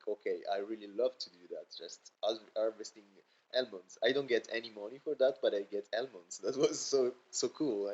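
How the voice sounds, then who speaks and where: Halting man, low voice. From Italy. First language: Italian. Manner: halting, many fillers and restarts. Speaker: guest. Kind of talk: casual interview chat. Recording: dull lo-fi podcast audio.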